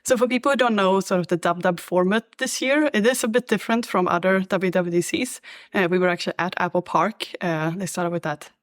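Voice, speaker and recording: A woman's voice: fairly low pitch, a little fast, no echo, clean studio sound. Chatty Swedish-accented woman on a video call. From Sweden. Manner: chatty, many "like" fillers, laughs easily. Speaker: app developer, co-host. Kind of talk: casual video-call chat. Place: indoor apartment room by a large window. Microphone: video call webcam.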